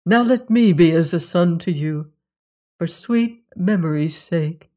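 An earnest young lord from a storybook, read by a woman with solemn warmth. He speaks slowly and with feeling. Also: fairly low pitch, lightly acted.

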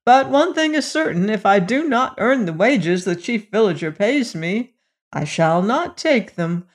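A woman's voice: mid-range voice, lively intonation, a little slow, pitched above the performer's own voice. A woman voicing an earnest, honest old man in a storybook tale. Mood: dejected. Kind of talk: storybook character voice.

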